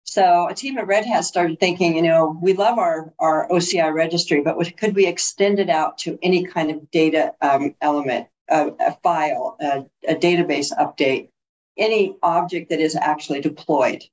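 A woman's voice: low-pitched, normal speed, a little mellow. A woman co-hosting a podcast, giving a casual update with many fillers and hesitations. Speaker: podcast co-host. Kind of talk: casual chat, project update. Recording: dull, muffled call audio.

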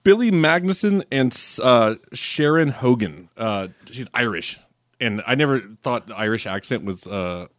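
A man interviewing film actors in a press junket, upbeat and quick to laugh. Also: mid-range voice, animated. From Georgia, US.

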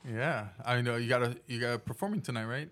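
A man's voice: mid-range voice, quick pace, a bit bright. A young man hosting a podcast, asking questions casually, hesitant, with many fillers and restarts. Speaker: podcast host. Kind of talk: casual interview.

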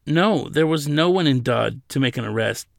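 A man's voice: a little high, lively intonation, normal speed, vocal fry, dry recording, clean studio sound, voice pushed up. A man voicing a slight, timid male desk attendant in an audiobook, with a nervous, hesitant, apologetic way of talking. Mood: apologetic, frazzled.

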